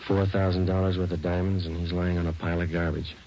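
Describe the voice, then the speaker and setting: A man's voice: deep voice, level tone, fast talker, very noisy. A man playing a terse, hard-nosed police detective in a 1940s radio drama, clipped and commanding. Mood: grim. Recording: muffled old radio recording, loud music behind.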